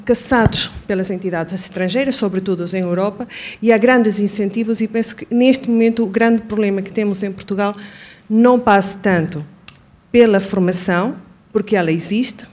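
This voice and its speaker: Female audience member at a public forum, native Spanish speaker with a Venezuelan accent, medium pitch, earnest and wordy, formal delivery. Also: a little mellow. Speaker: audience member asking a question, administrative worker. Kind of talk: spoken question at a public forum.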